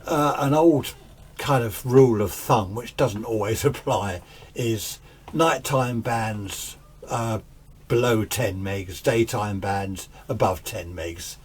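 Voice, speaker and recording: A man's voice: lowish voice, takes it slowly. A middle-aged man giving a casual, chatty, self-deprecating demonstration as a radio hobbyist, recorded on a camera's built-in microphone. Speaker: amateur radio hobbyist and host. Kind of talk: casual hands-on demonstration commentary. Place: home radio shack, indoors. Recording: camera microphone with hiss and background noise. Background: faint radio hiss and static.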